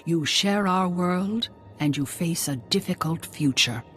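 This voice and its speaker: A woman's voice: on the low side, fairly bright tone, faint music behind. An actress playing a serene, solemn pilgrim elder woman in a video game, speaking with ceremonial care. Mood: solemn blessing. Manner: ceremonial, measured. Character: serene, solemn pilgrim matriarch giving blessings.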